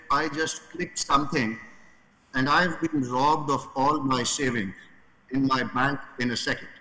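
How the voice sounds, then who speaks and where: Halting, bright-voiced man speaking slowly. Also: a little high. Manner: halting, many fillers and restarts. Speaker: panel speaker. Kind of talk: conference panel talk. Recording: reverberant conference hall.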